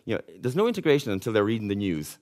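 A man's voice: on the low side, very animated, very fast, darkish tone. A middle-aged man hosting a casual panel chat. He laughs often and fills his talk with "you know.". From Ireland. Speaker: host.

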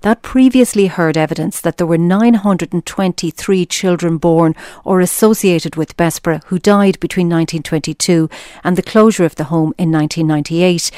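A woman reports for a news programme in a measured, detailed way. Her voice sits a little low, with a mellow, warm tone. The sound is dry and close. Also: no echo. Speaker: news correspondent.

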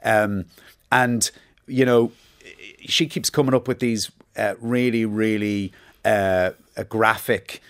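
Guy in his 50s telling stories on a chat show, keeps laughing, lowish voice. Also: fairly even intonation, slow. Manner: chatty storyteller, laughs easily. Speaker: guest. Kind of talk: relaxed interview chat.

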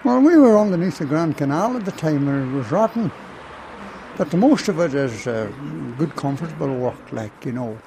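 A man's voice: fairly high pitch, very animated, moderate pace, background noise. An older retired miner chatting in an interview, rambling and restarting his words. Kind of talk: casual interview chat. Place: outdoors at a tournament venue.

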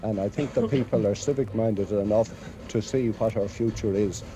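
A man's voice: fairly low pitch, steady tone, normal speed, mellow, slight background noise. An Irish man speaking formally and proudly as a civic official in a 1950s radio interview, on an archive recording. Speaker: chamber of commerce president.

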